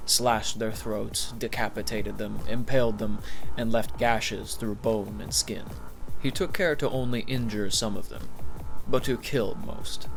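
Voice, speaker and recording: A man narrating an audiobook in a measured, steady storytelling manner. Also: on the low side, creaky, low-quality recording.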